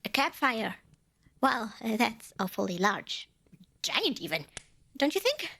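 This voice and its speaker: A woman's voice: fairly high pitch, sing-song, a little slow, creaky, bright, forceful tone, full character voice. A woman playing a cute, eager and nervous little female demon in an ASMR roleplay, bubbly and over-polite with hesitant stammers. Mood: eager salesmanship. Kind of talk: ASMR roleplay acting addressed to listener.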